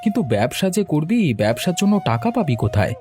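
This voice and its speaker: A man's voice: on the high side, sing-song, fast, a little mellow, soft background music. A man voicing a helpful young village man in a cartoon, friendly, concerned and reassuring. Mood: encouraging. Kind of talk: cartoon voice acting.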